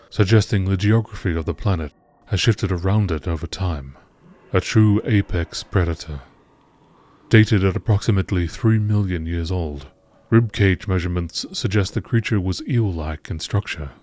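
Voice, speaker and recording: A man reading scripted text aloud in a measured nature documentary style, as an amateur narrator. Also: low voice, a little mellow.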